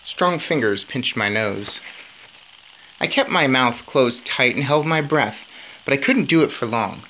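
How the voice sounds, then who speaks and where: A man's voice: mid-range voice, lively intonation, a little slow, bright, forceful tone, a little hiss or hum, rough recording. A man in his thirties reading a children's novel aloud with expression. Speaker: story reader.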